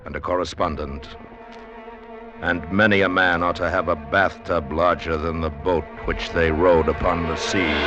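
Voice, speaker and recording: A grave storyteller in an old radio drama. His very low voice is solemn and literary, with music clearly behind it. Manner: solemn, literary, measured delivery. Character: grave storyteller of a sea tale. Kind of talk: mid-century radio drama narration.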